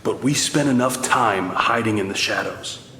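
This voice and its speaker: An actor voicing a young male mutant brawler, sincere, steady and idealistic, in an animated drama. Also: fairly low pitch, normal speed. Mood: earnest. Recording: echoey hall with faint noise.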